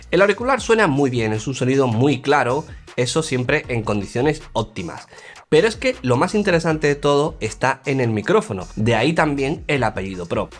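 A man presents a product review in a clear, conversational, explanatory way. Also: mid-range voice. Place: indoor studio, grey backdrop. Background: background music.

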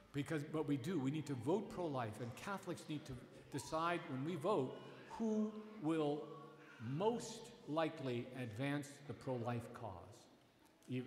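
A middle-aged man, a priest, preaching a Sunday homily from a lectern in a church, earnest and emphatic, often restarting his phrases. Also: a little high. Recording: echoey church with long reverb.

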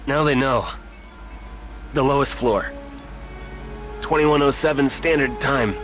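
A man playing a badly wounded, dying man in a video game, speaking in weak, halting broken phrases. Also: medium pitch, over music, natural voice. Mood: pained. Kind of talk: video game cutscene acting.

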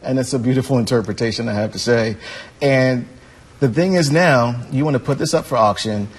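A man's voice: medium pitch, brisk, husky. An American man hosting a live morning television interview in a polite, affirming manner. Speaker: TV morning show host.

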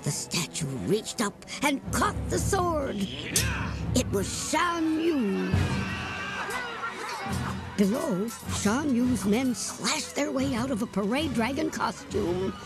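Lively, high-voiced woman reading a storybook. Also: slow pace, over music. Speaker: storybook reader. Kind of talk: read-aloud storybook narration.

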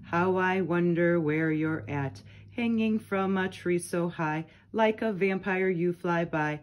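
Lady reading a kids rhyme book out loud, takes it slow, talks right to the little ones. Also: lowish voice, muffled recording. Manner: addresses young listeners with questions and short comments. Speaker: picture book reader. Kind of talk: read-aloud of children's nursery rhymes, part sung.

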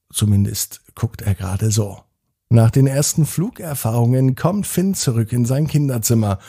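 A man narrating a scripted children's bedtime story, gently speaking to the young listener in a slightly husky, gravelly voice. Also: mid-range voice.

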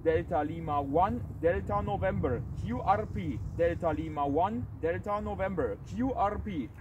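A man's voice: sits high, normal speed, muffled recording. A man in his thirties with a German accent, making amateur radio calls. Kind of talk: radio call exchanges. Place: roadside in open countryside. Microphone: camera some metres away. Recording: noisy outdoor camera, distant, windy.

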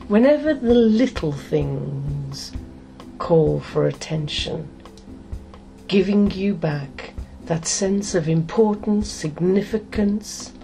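Middle aged lady doing hypnosis, super slow n soothing, mellow voice, soft music behind her. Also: on the low side, creaky. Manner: soothing, deliberate with long pauses between short phrases. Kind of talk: guided hypnosis, meditation.

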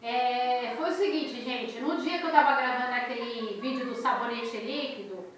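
A woman in her thirties with a Brazilian Portuguese accent, telling a story to her viewers in a lively, expressive way from a small bedroom. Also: fairly high pitch, moderate pace, far from the mic, a little hiss or hum. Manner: animated, expressive storyteller, gestures a lot. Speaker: crochet and crafts channel host. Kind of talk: casual storytelling vlog to viewers. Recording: echoey room, voice off the mic.

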